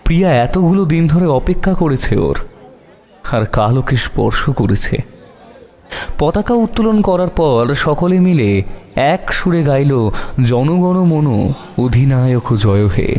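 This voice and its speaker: A man's voice: on the high side, sing-song, a little slow, pauses often, darkish tone. A man narrating a scripted horror audio story in a dramatic storytelling style. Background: music.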